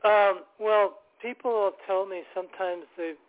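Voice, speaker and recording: A male caller with a rough voice today, talking hesitantly and repeating words. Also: high-pitched, fairly even intonation, unhurried. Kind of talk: casual phone conversation. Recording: phone-like radio line.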